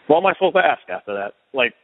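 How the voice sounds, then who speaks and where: A man's voice: fairly high pitch, very animated, racing, no room sound. A man co-hosting a casual sports talk show, teasing and hesitant. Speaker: podcast co-host. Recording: phone-like lo-fi audio.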